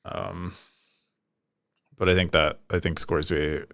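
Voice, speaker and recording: A man's voice: deep voice, little pitch movement, unhurried, a bit bright, dry recording. A man co-hosting a casual podcast, explaining technical ideas plainly in a loose, chatty way with lots of fillers. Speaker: podcast co-host. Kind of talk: casual chat.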